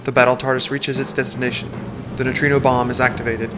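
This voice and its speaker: A man's voice: medium pitch, little pitch movement, fast talker, music in the background. A man narrating a scripted audio drama in the present tense.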